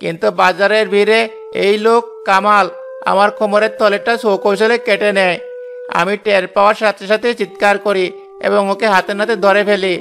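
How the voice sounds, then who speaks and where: একজন পুরুষের অভিনয়ে চড়া গলার ধূর্ত অভিযোগকারী পুরুষ. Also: male voice, sits high, level tone, normal speed, gravelly, a bit bright. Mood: pleading, aggrieved. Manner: accusing, indignant, insistent. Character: brazen scheming male accuser falsely claiming a purse. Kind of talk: dramatic cartoon voice acting.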